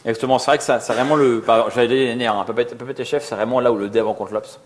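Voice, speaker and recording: Male conference speaker, mid-range voice, rapid delivery, casual and self-mocking. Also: medium pitch, fairly even intonation. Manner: joking, colloquial, self-deprecating. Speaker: conference speaker, software architect. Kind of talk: informal co-presented tech talk. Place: live talk before an audience.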